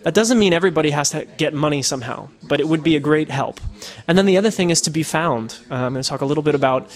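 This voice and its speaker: A man's voice: a little high, fast. A man from the United States, a podcaster, answering interview questions in a casual, thoughtful way with many fillers and restarts. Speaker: podcaster and citizen journalist. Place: Netherlands.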